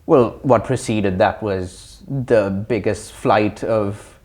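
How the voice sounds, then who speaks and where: Thoughtful young man, slightly low voice. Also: slow, a little mellow. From Sri Lanka. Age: young adult. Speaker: interview guest. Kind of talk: reflective interview talk.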